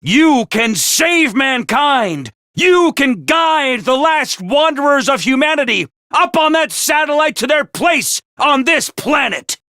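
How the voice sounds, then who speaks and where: A shrill, manic little game mascot. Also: male voice, sing-song, takes it slowly, hardly any pauses, sharp tone, no room sound, studio quality, exaggerated voice. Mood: rousing cheer. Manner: hyper, theatrical, gleeful and taunting. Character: manic, mischievous small male academy mascot commander. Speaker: game character voice lines. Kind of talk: game voice acting.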